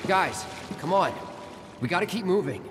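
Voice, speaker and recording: A man's voice: sits high, lively intonation, moderate pace, music in the background. A young American man playing a cool, aloof mercenary in a video game, laconic and dry. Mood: impatient. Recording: long reverb, faint noise and ambience.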